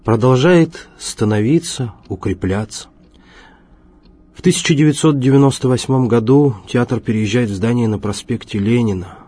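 Low, mellow, husky male narrator. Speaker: documentary narrator. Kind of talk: scripted narration of a radio documentary.

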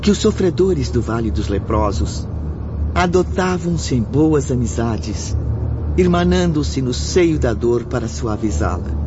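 Um homem narrando uma radionovela em tom solene e dramático, com texto roteirizado. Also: male voice, medium pitch, background noise. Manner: solemn, measured. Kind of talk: scripted dramatic radio drama narration. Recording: dull lo-fi audio, music behind.

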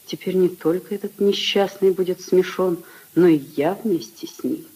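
Husky-voiced troubled princess, radio drama. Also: female voice, lowish voice, fairly even intonation, normal speed, dark tone, rough recording. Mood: anxious, hesitant. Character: troubled young married princess, anxious and restrained. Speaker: actress. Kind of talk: 1950s radio drama acting. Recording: 1950s radio recording, faint crowd behind.

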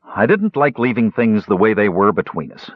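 A man's voice: lowish voice, sing-song, normal speed, no room sound, narrow, tinny audio. A man voicing a stubborn young dwarf nephew in a fantasy audiobook, sullen, blunt and defensive. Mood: sheepish. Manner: belligerent, blunt, informal.

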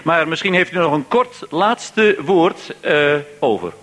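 A man's voice: a little high, animated, unhurried, fairly bright tone. A man hosting a live radio show in a formal, polite and slightly hesitant manner. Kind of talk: live hosting before a hall audience.

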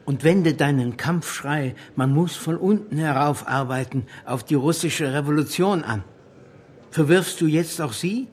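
An actor playing a defiant, fervent revolutionary leader in a German radio drama, challenging and insistent. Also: male voice, a little high, unhurried, darkish tone, slightly rough. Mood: defiant, urgent.

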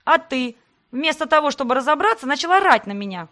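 A woman voicing a hot-tempered, sharp-tongued young heroine who shouts in indignant exasperation. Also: on the high side, expressive, brisk. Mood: furious.

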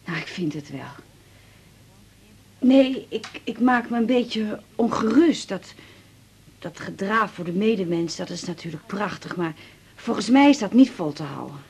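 A woman's voice: medium pitch, lively intonation, a little slow, darkish tone, slightly rough, heavily acted. A middle-aged woman the playing a worried, talkative mother in a radio drama. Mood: bustling. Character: fussing, worried mother of a grown daughter. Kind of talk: naturalistic radio drama dialogue.

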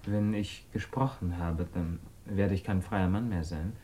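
A man's voice: deep voice, a little slow, dark tone, a little hiss or hum. A man playing a troubled, proud suspect under questioning in a German radio drama, speaking formally and intensely. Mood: resigned, solemn.

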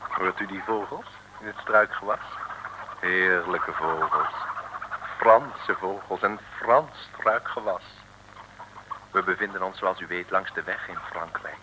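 An actor as the wry, theatrical storyteller of an old radio adventure serial. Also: male voice, low voice, unhurried, noisy background. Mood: wry, suspenseful. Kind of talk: theatrical scripted narration, 1950s-60s radio.

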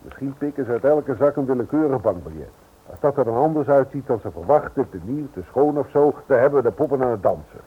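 A man playing a bossy, calculating, husky-voiced gang leader in a radio drama. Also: mid-range voice, expressive, fast. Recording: muffled, tinny old radio recording.

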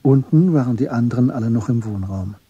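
A man narrating a German literary radio drama in a measured, understated way. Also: medium pitch, expressive, dark tone, slightly rough, lo-fi sound.

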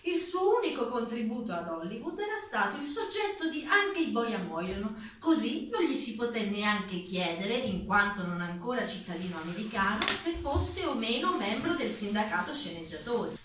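A woman's voice: fairly high pitch, sing-song, normal speed, few pauses, a bit bright, a little hiss or hum. A woman giving emphatic, scripted theatrical narration of a historical account, as a stage performer. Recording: very echoey, slightly distant mic.

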